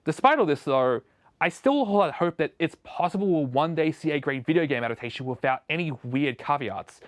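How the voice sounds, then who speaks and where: A man's voice: on the high side, lively intonation, a little fast, dry recording. A man presenting a scripted opinion video essay as a show host, recorded as a close voice-over.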